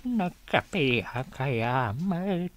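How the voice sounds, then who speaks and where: A man playing a stubborn elderly father who feigns muddled senility in a radio drama, with a querulous, drawn-out delivery. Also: fairly high pitch, lively intonation. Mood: muddled, complaining. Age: older.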